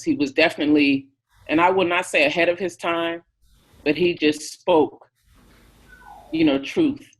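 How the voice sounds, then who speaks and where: A woman in her fifties, answering an interview question in a thoughtful, unhurried way with many fillers. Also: low voice. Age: 50s. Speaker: interview guest, family member.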